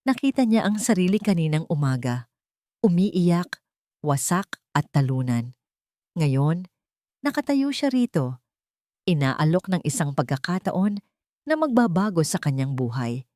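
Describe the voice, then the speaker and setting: A woman's voice: fairly low pitch, mellow, no echo, studio quality. A woman with a Filipino accent reading a scripted dramatic story as narrator.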